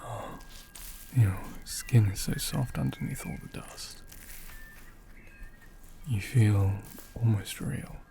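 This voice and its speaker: A man playing a gentle, attentive doll repairer in an ASMR roleplay, speaking softly and often in a half-whisper, unhurried and soothing. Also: lowish voice, creaky, rough recording. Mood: softly admiring. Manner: unhurried, hushed, murmuring with thoughtful hums.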